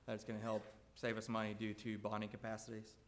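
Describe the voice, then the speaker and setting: A man from a construction company presenting bid recommendations at a school board meeting, speaking loudly and steadily with frequent fillers. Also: lowish voice, fast, slight background noise. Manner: loud, steady, even delivery with frequent "uh".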